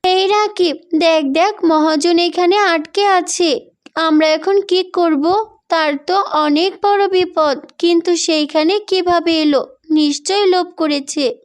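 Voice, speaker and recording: A woman's voice: very high-pitched, fairly even intonation, slow pace. A woman voicing a sensible, kind-hearted young village girl in a cartoon fairy tale, speaking earnestly. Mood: alarmed.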